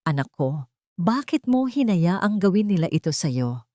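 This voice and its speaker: A woman's voice: lowish voice, sing-song, unhurried, dark tone, no room sound. Female narrator as powerful elderly Filipino patriarch, formal and solemn, audio drama, dry sound. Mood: pained restraint. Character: dignified, powerful old father defending his daughter.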